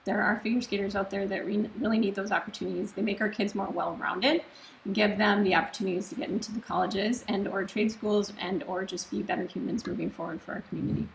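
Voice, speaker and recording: A woman's voice: mid-range voice, fairly even intonation, fast, vocal fry, slight background noise, poor audio. A woman giving a candidate's answer at a public forum. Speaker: city council candidate. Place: indoor TV studio.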